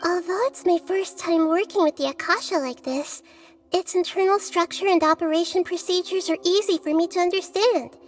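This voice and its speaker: A woman voicing a gentle, wise young girl deity in a video game, speaking in a calm, measured, earnest and articulate way. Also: extremely high pitch, faint music behind. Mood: helpful.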